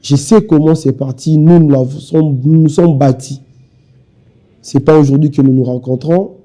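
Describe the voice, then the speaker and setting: A man's voice: on the high side, steady tone, unhurried, dark tone. A young man from Kinshasa with a Congolese French accent, a party spokesman speaking insistently in a televised interview. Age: young adult. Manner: insistent, repetitive speechmaking.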